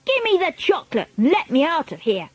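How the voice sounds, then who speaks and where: A woman's voice: high voice, huge pitch swings, brisk, no room sound, pitched above the performer's own voice. A woman voicing a rude, greedy, spoiled boy in a storybook reading, with a whining, demanding manner.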